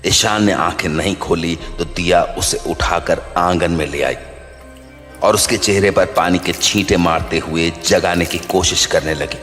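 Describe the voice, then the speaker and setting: A man's voice: low voice, steady tone, measured pace, a bit bright. A man narrating a scripted horror story as the storyteller. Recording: long reverb over background music.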